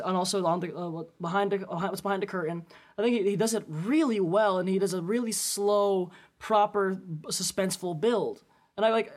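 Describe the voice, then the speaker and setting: A man on a podcast panel talking casually and conversationally about film. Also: high voice.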